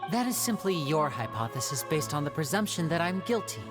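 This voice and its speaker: A man voicing a cool, evasive young stage magician who deflects questions in a composed, smooth way in a game cutscene. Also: fairly high pitch, big pitch swings, a little fast, over music.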